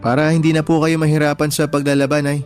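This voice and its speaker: Actor as a meek, polite young son-in-law. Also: male voice, a little high, little pitch movement, quick pace, darkish tone, faint music behind, lightly acted. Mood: polite, mildly hurt. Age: young adult. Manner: respectful, pleading, earnest. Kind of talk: radio drama acting.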